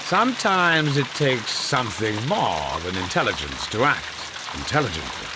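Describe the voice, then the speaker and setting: An actor playing an imperious, calculating Victorian government gentleman in a video game, pompous and deliberate, with a British accent. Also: lowish voice, very animated, noisy background. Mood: probing, measured. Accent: British English. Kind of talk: video game cutscene acting.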